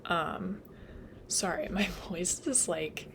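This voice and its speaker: Low-voiced young woman tutoring casually. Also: slow, noisy background. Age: young adult. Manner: chatty, lots of "like" and "um", laughs at own slips. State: losing her voice. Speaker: nursing student tutor. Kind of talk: casual study lecture.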